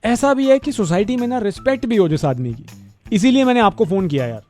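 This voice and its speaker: A man playing a flattering neighbour who pleads for help on a prank phone call, in a wheedling, repetitive manner. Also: sits high, fast talker, faint music behind. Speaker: radio prank caller.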